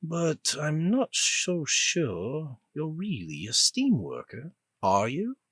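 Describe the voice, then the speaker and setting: A man voicing a composed older male colonel with a British accent, speaking in a measured way. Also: a little high, sing-song, a bit bright, husky, no room sound, studio quality, pitched above the performer's own voice, heavily acted.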